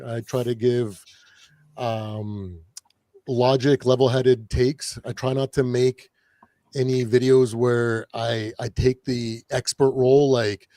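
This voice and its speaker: A man whose voice is raspy and a bit worn talks loosely as a guest on a live podcast. He rambles and restarts his sentences often, stopping on filler words. The sound is dry and close, with no room around it. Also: mid-range voice, dark tone, no room sound. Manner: rambling, lots of fillers and self-corrections. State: raspy voice from partying. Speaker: guest, fan channel creator. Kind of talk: casual podcast chat.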